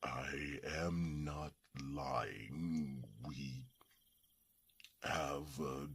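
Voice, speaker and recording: A man playing a courtly, reserved dragon in an ASMR fantasy roleplay, speaking formally and deliberately in a breathy, gravelly rasp. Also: rumbling low, a bit bright, exaggerated voice. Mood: flustered denial. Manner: formal, slow, deliberate, with thoughtful hums. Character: courtly male dragon, reserved, gently evasive about love.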